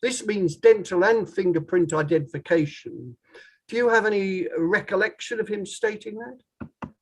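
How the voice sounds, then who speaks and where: A man playing a formal, probing barrister who questions in a measured way, in a table read of a courtroom play. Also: high-pitched. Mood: methodical questioning. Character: probing male inquiry barrister, formal and methodical.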